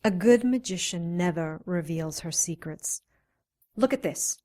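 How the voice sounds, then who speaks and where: A woman's voice: fairly low pitch, unhurried, warm tone, natural voice. A woman playing a self-assured, teasing doctor of magic and science in a radio drama, flirtatious and persuasive. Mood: explaining, proud.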